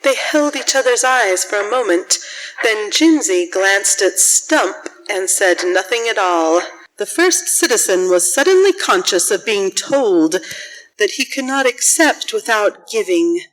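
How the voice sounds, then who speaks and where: A woman's voice: medium pitch, expressive, unhurried, lightly acted, rough recording. A woman narrator reading a scripted, literary story for a radio drama.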